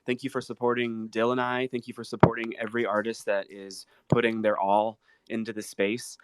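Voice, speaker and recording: A man's voice: on the low side, little pitch movement, a little fast, a little hiss or hum. A man who is a guest musician, talking casually in an earnest, grateful way. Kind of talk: casual heartfelt talk.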